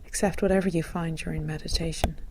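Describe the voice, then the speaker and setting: A woman leading a guided meditation in a gentle, calm, soothing manner, as a teacher would. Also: low voice, fast talker, creaky, background noise.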